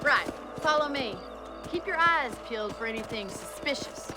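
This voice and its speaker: A young American ranch woman in a western video game. Her voice is high and swings widely as she gives plain, commanding, teasing lines. Music plays clearly behind her. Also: expressive, normal speed. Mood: businesslike. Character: tough, brisk, wry young frontier woman rancher. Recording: game audio with loud music.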